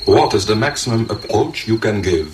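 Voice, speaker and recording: A man's voice: on the low side, lively intonation, moderate pace, lightly acted. An actor playing a terse, clinical male scientist supervising an experiment in a radio play. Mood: curt. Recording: very echoey, distant, clearly noisy.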